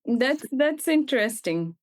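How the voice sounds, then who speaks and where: A woman hosts a podcast interview with a fairly high voice. She asks brief, measured questions and lets the guest talk. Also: lively intonation. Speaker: podcast host.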